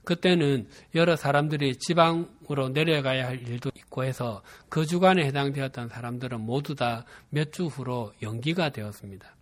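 A man in his fifties from Busan with a Gyeongsang dialect accent, preaching a sermon and telling a personal story in a measured, earnest way. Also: medium pitch. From Busan, South Korea. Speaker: pastor.

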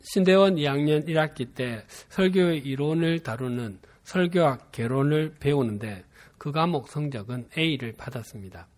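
A man in his fifties from Busan with a Gyeongsang dialect accent, preaching a sermon and telling a personal story in a measured, earnest way. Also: a little high, darkish tone. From Busan, South Korea. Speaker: pastor.

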